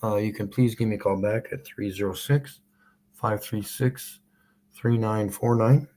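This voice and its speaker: A man's voice: lowish voice, steady tone, unhurried, mellow. A man with a Canadian accent, live streaming as he makes a prank phone call and then rants in a mocking, sarcastic way.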